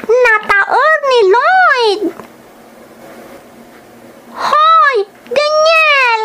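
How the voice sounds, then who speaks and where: An actress with a Filipino accent playing an animated, teasing young female office worker in a radio drama. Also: very high voice, extremely slow, a little hiss or hum, heavily acted. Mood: smitten, teasing.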